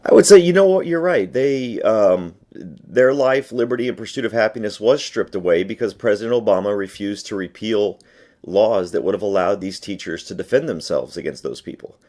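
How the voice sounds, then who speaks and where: A man's voice: fairly low pitch, lively intonation, normal speed, mellow. A man being interviewed by phone, answering argumentatively in an emphatic, insistent manner. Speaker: interview guest, gun rights advocate. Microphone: phone call.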